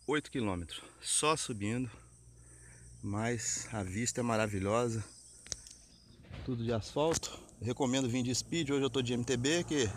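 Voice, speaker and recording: Brazilian male cycling vlogger, thirties, mid-pitched, casual delivery, noisy roadside recording. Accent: Brazilian Portuguese. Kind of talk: casual vlog commentary. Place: outdoors on rural mountain roads. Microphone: handheld camera at arm's length. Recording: clear outdoor noise, phone or camera mic.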